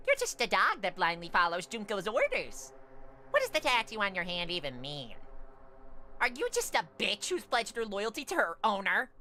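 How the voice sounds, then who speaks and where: A woman's voice: mid-range voice, wildly swooping pitch, moderate pace, sharp tone, slight background noise. A woman voicing a taunting, short-tempered robot bear mascot in a theatrical fan dub. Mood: mocking. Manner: mocking, theatrical.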